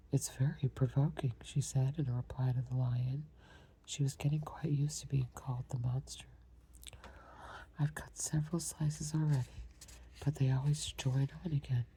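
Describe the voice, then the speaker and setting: A woman reading a storybook aloud in a soft, breathy whisper. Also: very deep voice, slight background noise, rough recording. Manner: soft unvoiced whisper throughout. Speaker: storybook reader.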